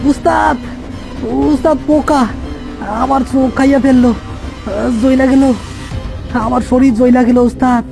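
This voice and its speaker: A man's voice: very high voice, flat delivery, normal speed, gravelly, pauses often, music in the background, pitched above the performer's own voice. A man voicing a frightened young gravedigger's helper in a horror cartoon, whining and pleading in panic. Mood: terrified pleading. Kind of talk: horror cartoon story acting.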